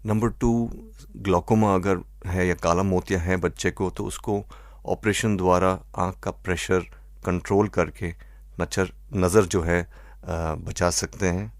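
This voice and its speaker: A man with an Indian accent answering as an expert doctor in an interview, measured and methodical, mixing in English phrases. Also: low-pitched, steady tone, no room sound, studio quality. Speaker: eye doctor, expert guest.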